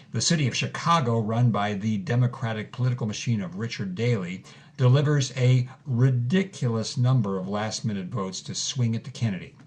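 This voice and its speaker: A man's voice: medium pitch, a little mellow, lightly acted. A man playing an eager, easily amazed interviewer who earnestly recaps history in a scripted audio drama.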